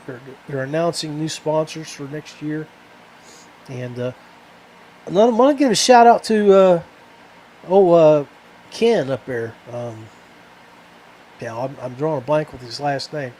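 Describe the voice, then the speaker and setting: Halting man podcasting over background hum. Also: on the high side, darkish tone, low-quality recording. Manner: halting, trailing off. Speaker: fishing podcast host. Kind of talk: casual rambling podcast talk.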